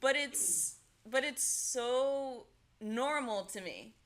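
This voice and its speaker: A woman's voice: on the high side, animated, extremely slow, fairly bright tone. A woman from Orange County with a Southern Californian accent, chatting as a guest and filling her speech with "like". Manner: chatty, lots of filler "like". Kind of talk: casual chat.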